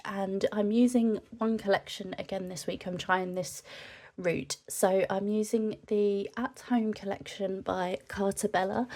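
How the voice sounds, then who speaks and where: A young woman from the UK, a scrapbooking vlogger narrating casually, her voice nasal from a cold, chatting in a relaxed way with frequent ums. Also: mid-range voice. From United Kingdom.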